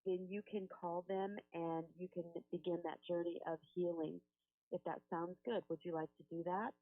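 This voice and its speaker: A woman's voice: fairly low pitch, steady tone, moderate pace. A woman working as a helpline phone coach, talking a caller through in a soothing, reassuring way and praying with her. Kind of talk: counseling phone call and spoken prayer. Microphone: telephone. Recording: tinny phone-line recording.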